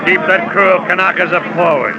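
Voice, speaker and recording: A man's voice: high voice, fairly even intonation, a little fast, muffled recording, lightly acted. An actor playing a ruthless gunman, giving threatening orders in a 1950s radio drama. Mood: menacing. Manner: threatening, commanding. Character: menacing male gunman, ruthless hijacker of a schooner. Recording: old radio, very loud background noise.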